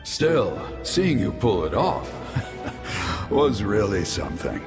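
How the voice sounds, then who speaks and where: Old-world warrior spirit from a game, slow gravelly voice, solemn, music behind. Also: male voice, on the low side, sing-song, heavily acted. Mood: solemn approval. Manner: measured, solemn, approving. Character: wise, battle-scarred ancient male warrior spirit, solemn. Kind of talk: video game character acting.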